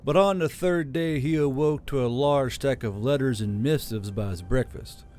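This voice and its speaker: A man reading a fantasy novel chapter aloud with a steady, expressive delivery. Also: mid-range voice, moderate pace. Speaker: audiobook narrator. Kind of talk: storybook reading.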